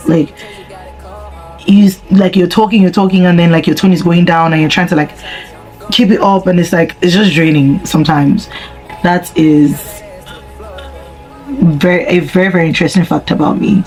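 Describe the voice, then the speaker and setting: A young woman from Nigeria with a husky voice, chatting casually and rambling with slang and deadpan humor while answering viewer questions. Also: fairly low pitch, poor audio. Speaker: vlogger. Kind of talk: casual chat while doing makeup.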